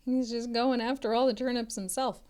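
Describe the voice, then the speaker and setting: A woman's voice: fairly high pitch, steady tone, brisk, darkish tone. A woman tutor reading a picture book aloud to children, expressively and instructively, drawing out some words.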